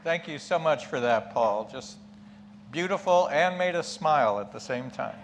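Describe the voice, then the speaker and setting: A man's voice: a little high, lively intonation, moderate pace, a crowd in the background. A middle-aged or older man giving a sermon as a lay preacher, reflective and emphatic, posing questions and dropping in asides. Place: church pulpit. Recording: clear background hum and noise.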